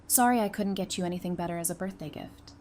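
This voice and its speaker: A woman's voice: fairly low pitch, quick pace, darkish tone, close to an everyday voice. Woman playing playful teasing partner, noisy background. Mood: affectionate. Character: teasing, affectionate woman in her early thirties. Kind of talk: audio drama acting.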